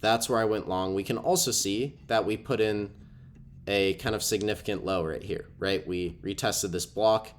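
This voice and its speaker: A man's voice: lowish voice, normal speed, a little hiss or hum. A young man with a stuffy, cold-affected voice, casually explaining a trading setup over a screen recording with lots of ums. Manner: casual, filler-heavy explaining with frequent ums. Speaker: trading educator. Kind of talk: screen-share trading walkthrough.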